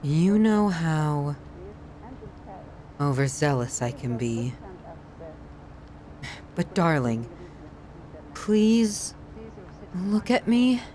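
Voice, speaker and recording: A woman's voice: low-pitched, big pitch swings, drawn-out words, pauses often, warm tone, full character voice. A woman playing a sadistic, possessive yandere in an ASMR horror roleplay, theatrical and sighing, shifting between sweetness and scorn. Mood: coaxing, pleading.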